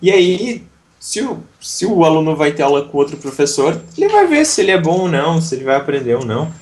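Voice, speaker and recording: A man's voice: a little high, sing-song, brisk, darkish tone. A man from southern Brazil, an English teacher, talking reflectively in a casual interview with frequent restarts.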